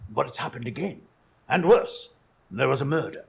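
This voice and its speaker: A man's voice: medium pitch, lively intonation, moderate pace, creaky, slightly rough, heavily acted. A man playing an earnest, easily indignant loyal companion who also narrates a radio drama in formal storytelling style. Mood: grave. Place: small theatre stage, recorded live in front of an audience.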